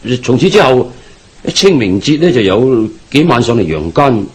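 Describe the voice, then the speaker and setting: Animated, anxious Hong Kong Cantonese businessman. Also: medium pitch, normal speed, breathy edge, low-quality recording. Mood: agitated. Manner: hesitant, pleading, animated. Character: anxious, deferential businessman haunted by a ghost. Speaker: radio drama actor. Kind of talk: Cantonese radio drama acting.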